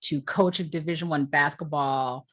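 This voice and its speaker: A woman's voice: low-pitched, steady tone, moderate pace, fairly bright tone. A woman hosting a casual interview, enthusiastic and affirming, often asking "you know what I mean". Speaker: podcast host.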